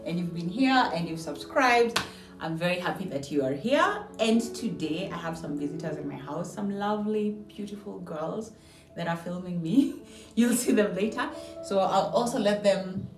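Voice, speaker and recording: A woman's voice: fairly low pitch, lively intonation, moderate pace. A woman, hosting a casual vlog from her home. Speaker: channel host. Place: indoors in a house. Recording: roomy echo, distant mic, music behind. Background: background music.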